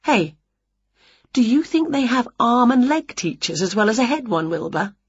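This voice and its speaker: A woman voicing an excitable, scatterbrained witch in a theatrical, exclamatory way for a children's storybook. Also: on the high side, normal speed, slightly rough, no echo, muffled recording, pitched above the performer's own voice. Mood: eager scheming. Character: excitable, scatterbrained female witch, dramatic and cheery.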